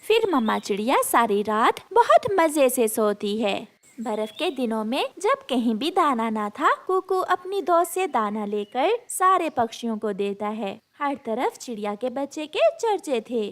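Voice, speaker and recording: A woman's voice: fairly high pitch, very animated, measured pace. A woman narrating a scripted children's story.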